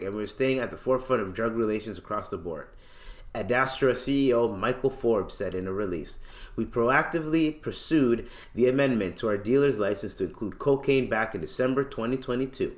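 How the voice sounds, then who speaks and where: A man's voice: medium pitch, brisk. Echoey male podcast host, playful and slangy. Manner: playful, slangy, theatrical when reading aloud, repeats words. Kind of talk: casual podcast commentary with dramatic reading of news. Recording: echoey, roomy sound.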